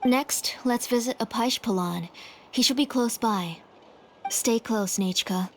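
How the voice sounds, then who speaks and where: A woman playing a gentle, caring, courteous mother in a video game. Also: on the high side, a little slow, a little hiss or hum. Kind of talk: English video game voice acting. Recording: game music behind the voice.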